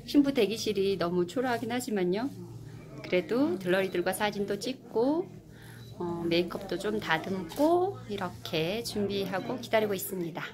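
A woman's voice: medium pitch, animated, moderate pace, crowd noise. A woman narrating a wedding in a casual, chatty way, laughing often. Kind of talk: casual voice-over commentary. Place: outdoors at a wedding in Kenya. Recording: with music playing behind.

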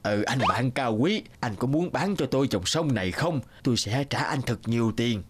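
Pompous, animated greedy rich man. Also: medium pitch, moderate pace, breathy edge. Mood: fawning.

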